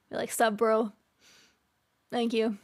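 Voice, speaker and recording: A woman streaming live, chatting casually with her chat, laughing often and trailing off with fillers. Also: a little high. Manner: giggly, laughs often between lines.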